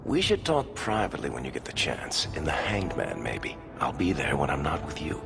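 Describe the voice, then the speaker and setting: A roguish dwarf from a fantasy video game, with a low voice that moves around expressively. He is a wry, confident persuader, talking over prominent music. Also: very animated, moderate pace, creaky, heavily acted. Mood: wry, teasing. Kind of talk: video game dialogue acting.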